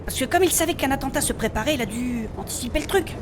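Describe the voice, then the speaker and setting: A woman's voice: high voice, lively intonation, fast, music in the background. A woman playing a matter-of-fact adventurer with an explanatory, corrective manner in a French fantasy comedy audio drama.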